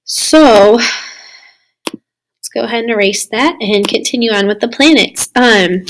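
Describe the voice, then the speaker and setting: A woman with a slightly raspy voice, recovering from losing it, giving a casual recorded slideshow lesson as a science teacher. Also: a little high, measured pace, slight background noise, low-quality recording. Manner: casual, explanatory, addressing students. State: recovering from lost voice.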